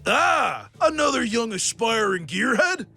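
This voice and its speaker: A very gravelly, slow-talking cartoon mechanic, acted for a comic dub. The figure is kindly and folksy, with music behind. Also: medium pitch, very animated, pushed and bright, exaggerated voice. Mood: welcoming. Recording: music under the voice.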